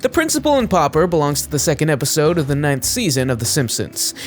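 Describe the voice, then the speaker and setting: A man reads scripted video narration in a clearly enunciated, storyteller-like way. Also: fairly high pitch, sing-song, fast talker, noisy background. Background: background music.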